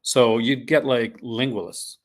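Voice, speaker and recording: A man's voice: mid-range voice, level tone, slow. A Canadian man, a podcast host with a mixed Canadian accent partly from Newfoundland, chatting casually and rambling through restarted sentences. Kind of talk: casual chat.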